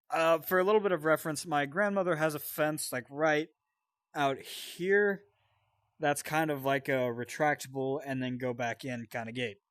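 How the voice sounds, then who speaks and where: A man casually telling a personal story in an animated, sweary way, often wandering off into side details. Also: fairly high pitch, no room sound. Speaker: storyteller and co-host.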